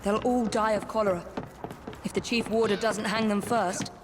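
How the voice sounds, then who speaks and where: A woman's voice: mid-range voice, steady tone, normal speed, noisy background, lightly acted. An actress playing a determined, concerned woman assassin in a video game. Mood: urgent. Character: determined woman assassin investigating a grim prison.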